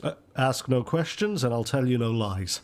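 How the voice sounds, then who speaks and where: A man's voice: mid-range voice, animated, normal speed, close to an everyday voice. A man playing a roguish, wry older grandfather who takes bets, in a radio soap. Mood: wry, genial.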